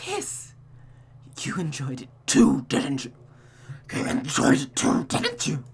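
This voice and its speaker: A streamer voicing a possessive, taunting monstrous creature in a visual novel, with a breathy, whispery voice. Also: mid-range voice, huge pitch swings, slow, heavily acted. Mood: pleading, twisted.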